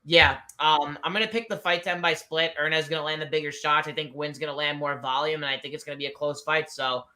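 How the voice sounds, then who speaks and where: A man's voice: fairly high pitch, fairly even intonation, quick pace, bright, forceful tone. A man giving casual fight picks, blunt and rambling, leaning on "I feel like". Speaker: betting analyst guest.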